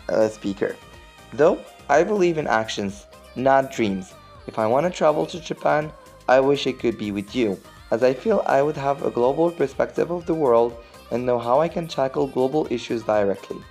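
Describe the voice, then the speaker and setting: A man's voice: mid-range voice, warm tone. A seventeen-year-old young man from Morocco, reciting a formal, earnest application speech. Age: teens. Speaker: scholarship applicant.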